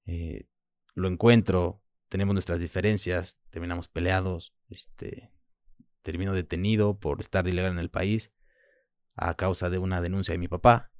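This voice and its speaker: A man in his thirties with a very deep voice and a Mexican Spanish accent, though French was his first language. He talks in a halting, reflective way, leaning on small fillers between thoughts. The sound is close and dry, with no room around it. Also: fairly even intonation, dry recording. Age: 30s. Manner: reflective, halting, frequent "pues" and "¿sabes?". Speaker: guest. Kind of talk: personal interview.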